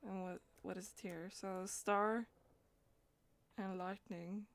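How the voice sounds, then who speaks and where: A woman's voice: mid-range voice, fairly even intonation, slow pace, creaky. A woman streaming a game, murmuring her thoughts in loose asides as she plays. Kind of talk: live gameplay, reading dialogue aloud.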